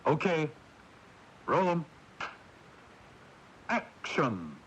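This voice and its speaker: An actor playing a harried, bossy film director in a 1980s radio drama. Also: male voice, a little high, very animated, fairly bright tone, exaggerated voice. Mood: commanding. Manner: brisk, bossy, exasperated. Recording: noisy 1980s radio broadcast taping.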